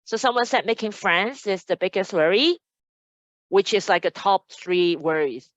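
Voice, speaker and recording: A woman's voice: medium pitch, expressive, normal speed, dry recording, lo-fi sound. A woman hosting an online admissions webinar as a casual chat, upbeat and chatty as she reads out audience comments. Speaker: admissions host. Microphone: video call.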